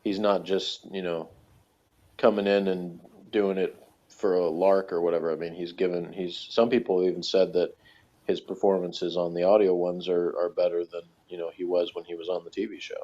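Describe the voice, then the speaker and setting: A man chatting casually as a podcast reviewer, in a rambling, halting way that often trails off. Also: low-pitched, level tone, warm tone. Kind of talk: casual chat. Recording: dull, lo-fi voice recording.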